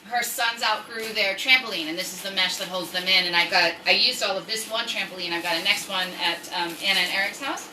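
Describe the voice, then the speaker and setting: Very bright, chatty woman in echoey room. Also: medium pitch, a little fast, few pauses, distant mic. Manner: rambling, self-interrupting, animated asides. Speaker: online storytime host and craft seller. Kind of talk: casual livestream chat. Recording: echoey room, off-mic, faint noise.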